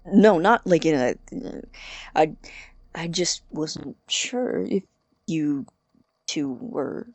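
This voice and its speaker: A man acting in an audio drama as an awkward, earnest, apologetic college student who stammers and speaks softly. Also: a little high, animated, drawn-out words, long pauses, dry recording, full character voice. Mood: awkwardly curious. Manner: hesitant, stammering, soft and breathy when sincere.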